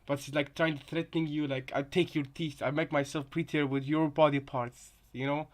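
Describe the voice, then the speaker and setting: A man giving casual reaction commentary on game voice lines, rambling and halting, often repeating his phrases. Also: a little high, level tone. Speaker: reaction video host.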